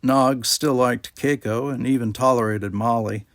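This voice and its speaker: A man narrates a story as an amateur audiobook reader. His voice sits at a middle pitch. The recording is dry, with little trace of a room. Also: steady tone, a little slow, no echo.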